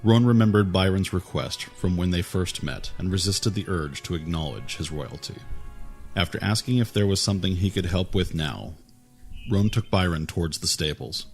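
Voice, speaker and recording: A man narrating fantasy fiction as a scripted audiobook. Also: sits low. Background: music.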